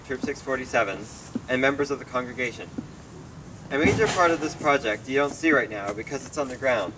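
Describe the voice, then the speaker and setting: Teenage American male speaker, distant outdoor recording. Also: medium pitch. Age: teens. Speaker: Eagle Scout giving a dedication speech. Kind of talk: prepared speech read aloud. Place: outdoors at a public ceremony. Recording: distant outdoor mic, clear traffic noise.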